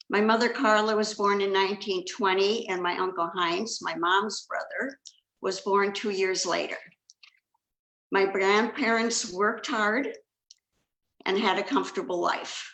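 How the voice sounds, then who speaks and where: An older woman, born in China, gives a measured, scripted talk as a second generation Holocaust speaker. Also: medium pitch, gravelly, fairly bright tone. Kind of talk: prepared talk with slides. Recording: echoey room.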